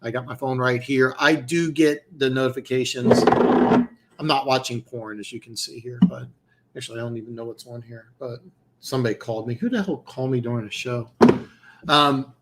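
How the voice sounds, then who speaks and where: A man's voice: mid-range voice, normal speed, very noisy. Rambling man losing his voice. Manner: rambling, many restarts and fillers. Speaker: host of a football picks show. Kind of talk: casual live-stream chat.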